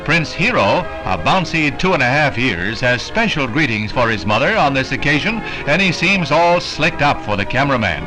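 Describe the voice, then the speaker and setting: A man's voice: mid-range voice, very animated, moderate pace, few pauses, rough recording. An American man narrating a scripted 1960s newsreel in a polished, declamatory announcer style. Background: prominent background music.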